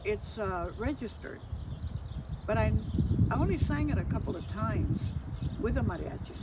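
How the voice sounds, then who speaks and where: A woman's voice: mid-range voice, expressive, moderate pace. An older woman telling personal stories informally, reflective and hesitant, mixing in Spanish phrases. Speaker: storyteller. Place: outdoors. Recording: distant mic, loud outdoor ambient noise.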